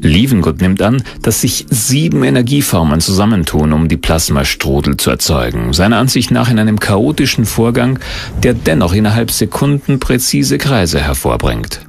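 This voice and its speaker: Male documentary narrator, low and gravelly, scripted delivery with animated intonation. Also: normal speed.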